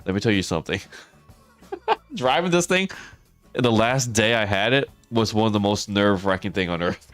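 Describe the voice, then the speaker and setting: A man with a very low, raspy voice, hoarse from losing it, talks easily. The sound is close and dry, with soft music playing behind him. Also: animated, normal speed, pauses often, no room sound. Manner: chatty, talks to viewers by name, laughs often. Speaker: streamer. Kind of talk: casual livestream chat.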